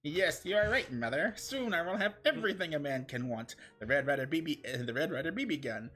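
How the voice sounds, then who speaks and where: A man's voice: on the high side, lively intonation, brisk, sharp tone, voice pushed up. A man voicing a pompous, greedy little boy who makes grandiose, self-important declarations in a comic story reading. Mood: smug.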